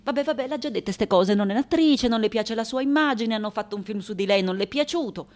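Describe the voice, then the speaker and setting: An exasperated Italian woman interpreter in a radio drama. She rattles along quickly in an Italian accent, grumbling and dropping weary asides under her breath. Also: on the low side, sing-song. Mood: weary, dismissive. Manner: hurried, muttering, weary asides.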